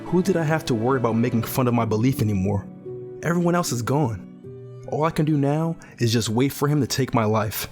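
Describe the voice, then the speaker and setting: A man's voice: medium pitch, lively intonation, a little fast, lightly acted. A man playing a grieving, bitter teenage survivor in a post-apocalyptic audio drama, earnest and brooding. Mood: despairing, brooding. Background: music.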